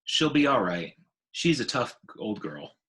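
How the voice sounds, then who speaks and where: A man's voice: medium pitch, very animated, unhurried, fairly bright tone. Man voicing a kindly, resigned father. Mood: reassuring. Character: tired working father, reluctant but kindly.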